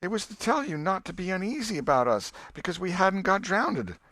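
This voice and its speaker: Man voicing a high, pleading country boy. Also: very animated, a bit bright, no echo. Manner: halting, colloquial boyish protests.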